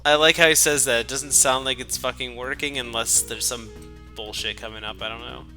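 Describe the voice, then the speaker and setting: A man's voice: mid-range voice, fairly even intonation, a little fast, pushed and bright, soft background music. A man doing a mocking dramatic reading with sweary, joking commentary and a mock-dramatic manner.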